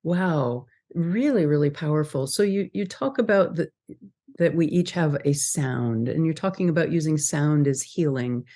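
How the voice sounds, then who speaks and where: A woman's voice: low voice, expressive, normal speed, warm tone. A middle-aged woman hosting a podcast, a former psychotherapist with a soothing voice who asks curious questions. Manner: soothing, encouraging listener. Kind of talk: podcast interview.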